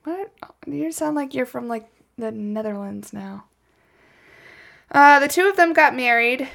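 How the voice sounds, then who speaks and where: A woman with a slightly high voice and a noticeable vocal fry. She tells true crime casually from notes as a podcast host. Her delivery is chatty, with wry asides dropped in. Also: expressive, measured pace, fairly bright tone.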